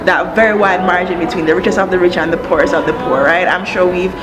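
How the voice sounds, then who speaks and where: A woman from Jamaica, in her thirties, talks in an earnest, thoughtful way as an interview guest, pausing with fillers. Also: on the low side, fairly even intonation. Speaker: interview guest, education NGO country director. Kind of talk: relaxed interview answers. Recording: music playing loudly underneath.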